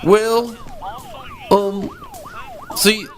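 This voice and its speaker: A man acts a naive young cartoon nephew with a very high, lilting voice. He sounds mild, innocent and slightly hesitant. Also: huge pitch swings, drawn-out words, exaggerated voice. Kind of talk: comedy cartoon character acting.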